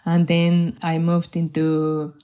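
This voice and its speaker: A woman from Spain in her forties, a Spanish accent, speaking in a lowish voice for her sex. Her delivery is measured and hesitant, with frequent restarts. The tone stays flat and level. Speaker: guest, health research manager. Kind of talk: podcast interview.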